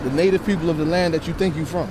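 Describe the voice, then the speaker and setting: A man's voice: on the high side, racing. A man speaking as an activist in an impromptu street speech, emphatic and didactic, addressing people outdoors. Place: outdoors in a city square. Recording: noisy outdoor street recording with traffic.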